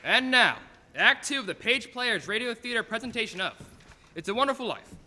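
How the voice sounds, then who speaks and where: A man playing a brisk, theatrical radio announcer with declamatory narration. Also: very high voice, lively intonation. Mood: dramatic. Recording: reverberant theater, faint noise and crowd.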